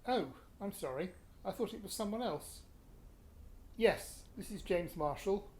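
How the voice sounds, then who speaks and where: A man's voice: high-pitched, expressive, measured pace, a little mellow. Guy playing a middle-aged british dad in a radio play, terse n sardonic, gets exasperated, talks like real conversation. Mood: worried, shaken. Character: exasperated, dry-humoured middle-aged father. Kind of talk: naturalistic radio play acting.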